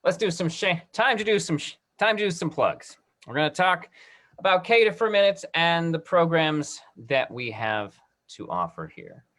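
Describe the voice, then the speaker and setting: A man hosting an online talk-back and making announcements in a halting way, often repeating himself. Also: on the high side, big pitch swings, fairly bright tone. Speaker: host.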